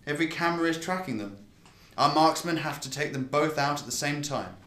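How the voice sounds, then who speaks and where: An actor playing a grim security official in a radio drama, speaking gravely and with emphasis. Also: male voice, a little high, a little fast, background noise, close to an everyday voice. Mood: tense, grave. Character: grim male security official watching a stealth operation. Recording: echoey room.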